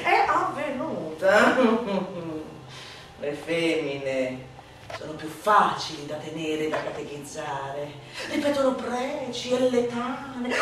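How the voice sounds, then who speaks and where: A woman giving a theatrical, mocking, declamatory recitation of a satirical text, swinging between hushed slow phrases and loud sing-song. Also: a little high. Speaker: stage performer reciting a literary monologue. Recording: very echoey room, distant mic, light noise.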